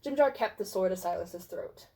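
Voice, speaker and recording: Female narrator, lively pitch swings, theatrical tongue-in-cheek delivery of comedic fantasy fan fiction. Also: moderate pace. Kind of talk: dramatic reading of comic fan fiction.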